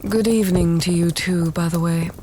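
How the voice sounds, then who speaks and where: A woman playing a composed, dry military commander in a fantasy audio roleplay, speaking in a measured, understated and authoritative way. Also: on the low side, steady tone, dark tone, a little hiss or hum, close to an everyday voice. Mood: dry, unruffled.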